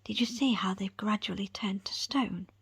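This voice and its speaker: A woman voicing a patient, placating foster mother in a whispered audiobook, gentle and hesitant. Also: mid-range voice, normal speed, creaky, slightly rough, dry recording, exaggerated voice. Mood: coaxing. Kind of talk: whispered audiobook character acting.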